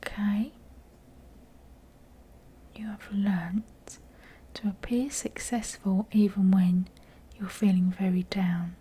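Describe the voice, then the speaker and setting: Female tarot reader, very breathy and croaky, mellow, near-whispered, gentle and chatty, slightly tired delivery. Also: mid-range voice, fairly even intonation, slow, pauses often. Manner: gentle affectionate address, chatty asides. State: croaky voice, low on energy. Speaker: tarot card reader and channel host. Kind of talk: intimate, informal tarot reading addressed to viewers.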